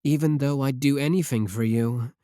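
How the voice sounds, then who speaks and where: A man's voice: mid-range voice, moderate pace, dark tone, no room sound, lightly acted. Man acting as an obsessive, clingy yandere streamer, close and dry. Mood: desperate, fervent. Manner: intense, pleading, intimate direct address. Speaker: ASMR roleplay voice actor. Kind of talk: ASMR roleplay monologue to the listener.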